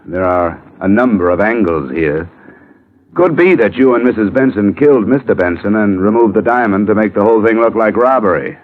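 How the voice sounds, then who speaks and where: An American man playing a wry, world-weary insurance investigator in a 1950s radio detective drama, with a sardonic, clipped, deadpan delivery. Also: on the low side, fairly quick, lightly acted. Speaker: lead actor and narrator. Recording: muffled vintage radio recording with music.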